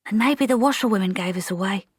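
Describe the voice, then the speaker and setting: A woman's voice: mid-range voice, fast talker, breathy edge, no room sound, voice pushed up, close to an everyday voice. A grim young woman soldier speaks in short, blunt lines as a woman reads her aloud. Her voice is slightly raised. The storybook reading is dry and close.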